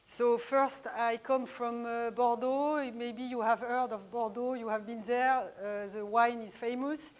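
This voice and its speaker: A middle-aged woman from France with a French accent, giving an academic lecture in a hesitant way with many pauses. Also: fairly high pitch, fairly even intonation. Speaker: guest lecturer.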